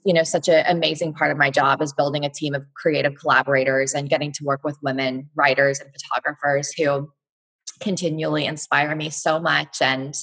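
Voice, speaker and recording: A woman in her thirties from Texas speaks low and a touch husky. She tells her story reflectively and at ease, with easy fillers and pauses as she thinks. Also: fairly bright tone, poor audio. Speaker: guest, blogger and entrepreneur. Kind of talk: conversational interview.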